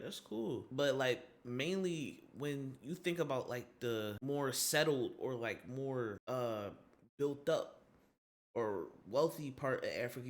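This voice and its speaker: A man streaming a reaction video, talking in a loose, rambling, slangy and frank way. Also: mid-range voice. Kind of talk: casual reaction commentary.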